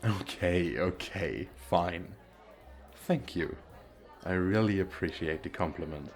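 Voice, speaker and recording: Man as a low, slow, awkward single dad. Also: faint music behind, a faint crowd. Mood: shy, amused. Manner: hesitant, self-deprecating, soft chuckles. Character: gentle, awkward single dad, flirting shyly. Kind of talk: ASMR roleplay acting.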